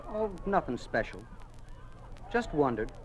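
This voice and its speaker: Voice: mid-range voice, big pitch swings, measured pace, rough recording. A performer playing a casually prying, sly schoolboy in a 1950s radio drama. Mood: probing, casual. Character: slyly inquisitive schoolboy informer, smooth and casual. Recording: lightly hissy 1950s radio recording.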